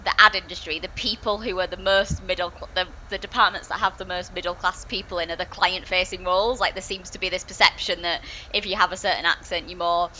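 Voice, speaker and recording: A young woman with a soft Yorkshire accent, chatting earnestly on a podcast, circling back and restarting her thoughts as she goes. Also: on the low side, fairly even intonation, quick pace, a little hiss or hum, low-quality recording. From Yorkshire, England. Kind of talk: casual discussion.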